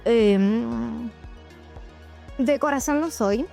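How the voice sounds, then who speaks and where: A woman shares a reflective personal monologue, speaking candidly and straight to her listeners. Her voice sits a little high, and music plays faintly behind her. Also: very slow, gravelly, dark tone. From Venezuela. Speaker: host of a personal podcast or vlog. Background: background music.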